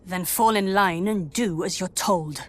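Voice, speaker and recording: A woman's voice: lowish voice, a little slow, fairly bright tone. A woman playing a stern, haughty royal knight in a video game, cold and imperious in her commands. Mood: cold, threatening. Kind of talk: video game cutscene acting.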